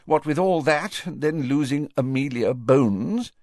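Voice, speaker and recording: A man's voice: on the high side, measured pace, a little mellow, dry recording, clean studio sound, voice pushed up. A man voicing a flustered, defensive and weary older government minister in an audiobook, with agitated, emphatic delivery. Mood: miserable, weary.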